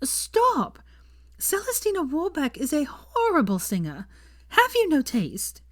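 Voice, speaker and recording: A woman voicing a drunk, giddy young woman in a storybook, with excited, loud and dramatic delivery. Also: high voice, unhurried, dry recording, studio quality, voice pushed up, exaggerated voice. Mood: shrieking. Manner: excited, shrieking, slurred exclamations.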